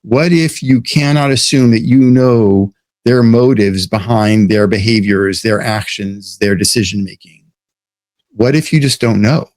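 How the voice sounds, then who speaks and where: A man's voice: fairly low pitch, measured pace, a little mellow, no room sound. Man, American, interview guest, thoughtful explaining, frequent restarts, dry close sound. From United States. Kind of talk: casual interview talk.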